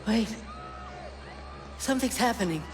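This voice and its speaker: A woman's voice: a little high, lively intonation, measured pace, music in the background. An actress playing a sardonic, protective female station scientist in a video game, with a dry, wry delivery. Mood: alarmed. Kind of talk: dramatic video game voice acting. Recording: long reverb, noise behind.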